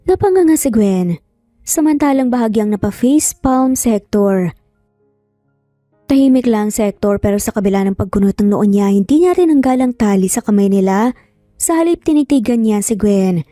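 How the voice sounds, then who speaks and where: A woman's voice: mid-range voice, lively intonation, moderate pace, warm tone, no echo, faint music behind. A woman narrating a dramatized romance story as a storyteller.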